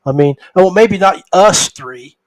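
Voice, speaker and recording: A man's voice: sits high, expressive, unhurried, no room sound, studio quality. An older man hosting a podcast in a casual, folksy way, talking straight to his listeners. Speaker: podcast host.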